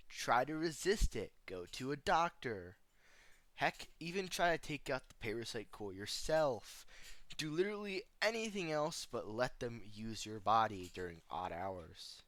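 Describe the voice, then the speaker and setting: A man hosts a casual reaction video in a bright, punchy voice at an average male pitch. He comments in a flippant, sarcastic way, tossing in slang and joking asides as he goes. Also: dry recording. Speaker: video host reacting to analog horror.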